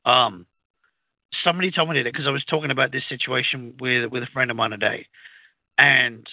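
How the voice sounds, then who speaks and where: A man's voice: mid-range voice, steady tone, no echo. Man on a tinny phone-like line. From outside the US. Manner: halting, many fillers and restarts. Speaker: radio co-host. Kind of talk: casual radio talk. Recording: phone-like narrow sound, dry.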